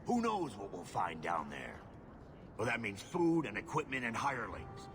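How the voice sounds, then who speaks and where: A man voicing a gruff, suspicious, money-minded dwarf expedition boss in a video game, blunt and brusque. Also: on the low side, wildly swooping pitch, moderate pace. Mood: gruff, businesslike. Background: loud music.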